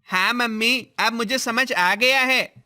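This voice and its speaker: A timid little cartoon schoolboy who is frightened of spiders, as an actor plays him. He talks earnestly, like a young child, and his tone is strikingly bright and sharp. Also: mid-range voice, takes it slowly. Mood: relieved. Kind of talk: animated storybook voice acting.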